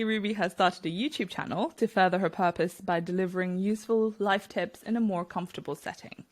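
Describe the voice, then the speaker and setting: A woman hosting a podcast, reading an intro and then chatting with a guest in a friendly, upbeat way. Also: medium pitch. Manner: friendly and upbeat, laughs easily. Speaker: podcast host. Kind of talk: casual interview chat.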